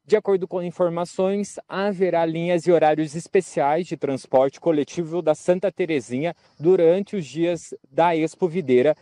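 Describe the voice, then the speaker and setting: A man's voice: a little high, fairly even intonation, moderate pace, hardly any pauses, dark tone, dry recording, studio quality. A young man giving a live news report outdoors into a handheld microphone. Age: young adult. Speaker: field reporter. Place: outdoors in a park-like event ground.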